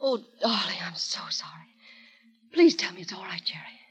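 An actress playing a spirited, sharp-tongued young woman with a snappy, wry manner in 1930s radio comedy. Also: lowish voice, lively intonation, moderate pace, close to an everyday voice. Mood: anxious, tender. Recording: vintage 1930s radio broadcast.

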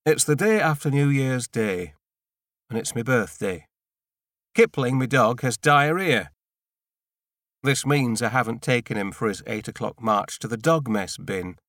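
A man reading a first-person novel in an understated, dry, clearly spoken storytelling manner. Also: mid-range voice, expressive, a little slow, fairly bright tone, no room sound. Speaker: audiobook narrator.

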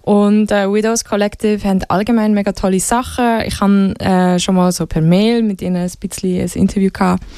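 A woman with a Swiss German accent talks casually about music as a radio guest. Her voice is dark and relaxed, recorded dry. She explains things informally, pausing and searching as she goes. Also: medium pitch, clear, resonant tone, dry recording.

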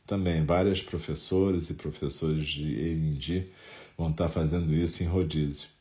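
A Brazilian man with a nasal, stuffy voice from a head cold, calmly leading a Zen meditation talk. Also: very deep voice, normal speed. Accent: Brazilian Portuguese.

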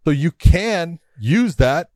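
A man's voice: fairly high pitch, animated, slow pace, a little mellow, dry recording, studio quality. A man co-hosting a casual sports chat, blunt and stammering at the start of his points. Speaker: podcast co-host, fighter.

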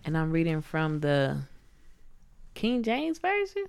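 A woman's voice: low-pitched, wildly swooping pitch, slow, gravelly, a little mellow, smooth. A woman co-hosting a casual faith podcast, bantering playfully with her co-host and reading aloud from the Bible. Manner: playful, laughs often, reads scripture aloud.

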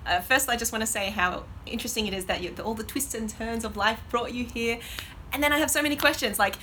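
Bright, fast-talking woman podcast host. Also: a little high, a little hiss or hum. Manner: enthusiastic, quick reactions. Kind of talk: podcast interview.

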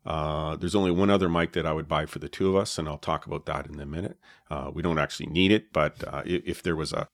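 A man with a low voice, co-hosting a podcast and explaining his gear in a relaxed way, with many fillers, on a dynamic mic with a pop filter. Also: no echo, studio quality. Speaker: podcast co-host. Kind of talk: casual chat about podcast gear. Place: home podcast setup. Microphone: dynamic podcast mic with pop filter and foam cover.

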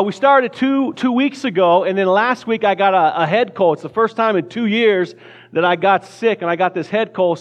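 A man's voice: high voice, muffled recording. A man preaching a casual sermon in a church, joking lightly and often restarting his words. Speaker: pastor. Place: church sanctuary.

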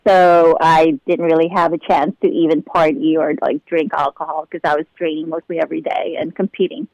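A woman's voice: sits low, level tone, fairly quick, a little mellow, no echo, poor audio. Bubbly, laughing woman from the Philippines. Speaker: show regular being interviewed. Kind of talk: casual interview chat.

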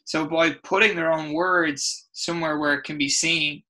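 A middle-aged man with an Irish accent, a rugby coach telling stories in an interview, expressive and full of restarts. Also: fairly high pitch, normal speed. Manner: animated, expressive storyteller with many restarts. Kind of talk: interview storytelling.

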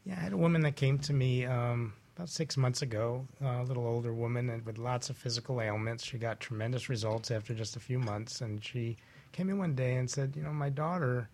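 Gravelly male interview guest. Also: medium pitch, fairly quick, darkish tone. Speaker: guest, doctor. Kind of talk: conversational anecdote telling.

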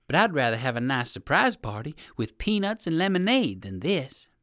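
A storyteller voicing a polite, worried old gentleman rabbit, mild and plaintive, in a children's storybook. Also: mid-range voice, sing-song, moderate pace, no echo, studio quality. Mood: polite, dismayed.

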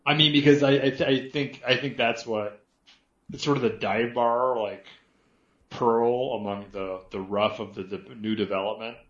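A deep-voiced man co-hosting a podcast, speaking haltingly with frequent stumbles and restarts. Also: fairly even intonation, fairly bright tone, roomy sound, highs cut off. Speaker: podcast co-host. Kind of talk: casual podcast banter.

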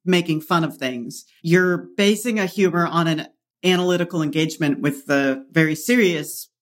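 A woman's voice: lowish voice, normal speed. A woman hosting a podcast interview, asking questions in a friendly, chatty way with thoughtful asides. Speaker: podcast host. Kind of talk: casual interview chat.

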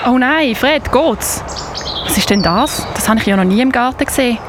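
Bossy young girl for radio drama, woman actor: brisk, urging, on the high side, clearly noisy background. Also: animated, close to an everyday voice. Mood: concerned, curious. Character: bossy, adventurous young girl, big sister. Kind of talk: amateur radio play acting in German.

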